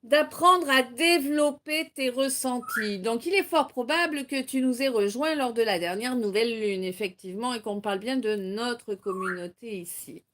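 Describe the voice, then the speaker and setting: A woman's voice: a little high, normal speed, hardly any pauses, fairly bright tone. A French woman from Normandy hosting a live card-reading stream, chatty, laughing often and reading chat messages aloud. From Normandy, France.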